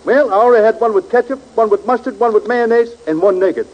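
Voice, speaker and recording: High-voiced, eager American army sergeant. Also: male voice, fast talker, darkish tone. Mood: enthusiastic. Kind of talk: 1940s radio comedy acting. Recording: muffled old radio broadcast.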